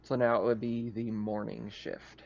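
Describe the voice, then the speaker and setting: A man's voice: lowish voice, level tone, moderate pace, creaky. A man acting as game master for a tabletop roleplaying game, casually explaining the rules over an online voice chat. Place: online voice call. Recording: slightly noisy, dull online voice-chat audio.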